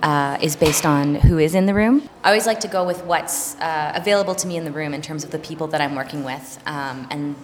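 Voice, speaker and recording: A woman's voice: deep voice, a little fast, hardly any pauses, big-room sound, noisy background. A woman, a choreographer, explaining her work in an interview with lots of hesitant fillers.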